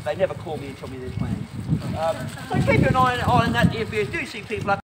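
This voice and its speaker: A man's voice: sits high, huge pitch swings, quick pace. A man guiding a walking tour outdoors, talking wryly and conversationally to his group. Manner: wry, conversational, addresses the group as folks. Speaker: tour guide. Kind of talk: guided tour talk. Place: outdoors in a city square and harbour. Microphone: vlogger's phone, from some distance. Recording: distant voice under loud wind.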